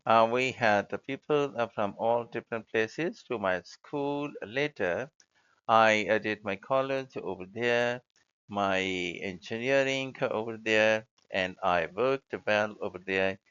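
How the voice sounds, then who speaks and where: A man with an Indian accent giving hesitant, halting answers as a candidate in a spoken exam, on a dull recording. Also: fairly low pitch, fairly bright tone, smooth. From Mumbai, India. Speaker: speaking test candidate. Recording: dull lo-fi audio.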